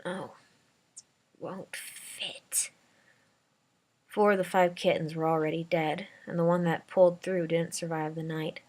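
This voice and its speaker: A woman's voice: low-pitched, little pitch movement, brisk, darkish tone. A woman reading a dramatic first-person story aloud as an amateur narrator.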